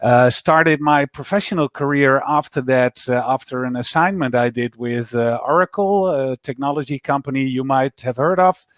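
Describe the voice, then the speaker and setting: Middle-aged Dutch man, measured guest. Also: mid-range voice, no room sound. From Netherlands. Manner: measured, lots of "uh" pauses. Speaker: guest, startup founder. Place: office. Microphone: video call.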